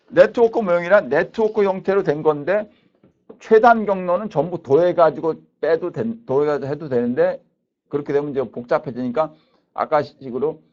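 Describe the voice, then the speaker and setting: A man's voice: a little high, darkish tone. A man in his fifties lecturing as a university professor, explaining concepts didactically and repeating key points. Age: 50s. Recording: dull lo-fi lecture recording.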